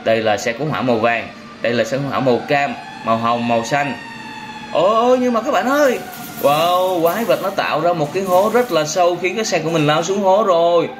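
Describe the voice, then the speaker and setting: Higher-voiced young man, noisy recording. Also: a little fast. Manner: exclamatory, repetitive, narrating simply for children. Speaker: host of a children's gaming channel. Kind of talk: reaction commentary over a vehicle game.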